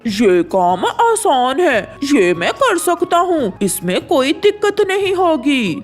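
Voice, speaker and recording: A woman's voice: sits high, sing-song, takes it slowly, soft background music, exaggerated voice. A woman voicing an eager, cocky, scheming male crow in a children's cartoon, with emphatic delivery. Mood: excited. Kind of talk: Hindi children's moral-story cartoon.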